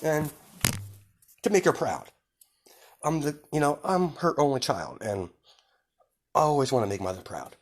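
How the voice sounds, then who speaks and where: A man voicing a teenage boy in a fan-fiction story, eager and sweetly polite in a halting, overly friendly way. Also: on the high side, expressive, slight background noise, pitched above the performer's own voice. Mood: earnest, pleasing.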